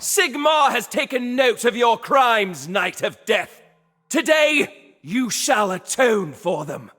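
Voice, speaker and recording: An actor playing a righteous holy warrior in a fantasy game, solemn and declamatory, in cavernous reverb. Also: male voice, extremely high pitch, sing-song, slow pace, fairly bright tone, soft background music, heavily acted. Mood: stern. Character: righteous holy golden warrior champion passing judgement. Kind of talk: heroic fantasy game cutscene acting.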